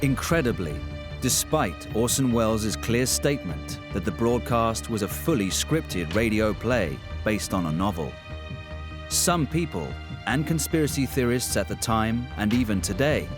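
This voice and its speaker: A man with a fairly low voice narrates a documentary from a script. Music plays clearly behind him. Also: expressive, measured pace. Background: prominent music.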